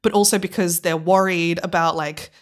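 An Australian woman in her thirties, a podcast guest telling stories in casual chat, animated and laughing often. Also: fairly low pitch, normal speed. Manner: animated storyteller, laughs often, acts out voices.

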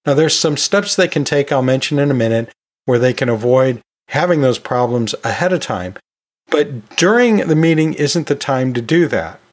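A man's voice: mid-range voice, animated, moderate pace. A man hosting an instructional podcast, explaining practical tips in a conversational, informal way. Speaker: podcast host and college teacher.

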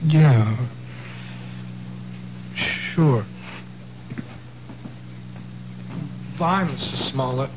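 An actor playing an earnest, protective twenty-year-old piano student in a 1970s radio drama, boyish and stammering. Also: male voice, mid-range voice, lively intonation, extremely slow, noisy background. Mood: crestfallen. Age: young adult. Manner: boyish, stammering, blurts things out. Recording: muffled, humming old radio broadcast.

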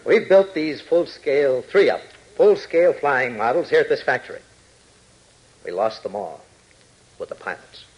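An actor as a grave, haunted army major. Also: male voice, medium pitch, animated, moderate pace, long pauses, highs cut off, close to an everyday voice. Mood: ominous. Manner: grave, intense, dramatic. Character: strained middle-aged male army major, haunted, desperate. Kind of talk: 1940s radio adventure acting.

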